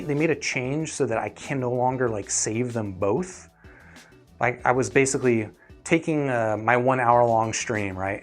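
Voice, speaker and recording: A man hosting a live stream, talking casually and explaining his thinking to viewers in a conversational way. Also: medium pitch. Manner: conversational, explanatory, often checking in with "right?". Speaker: host of an earphone review live stream.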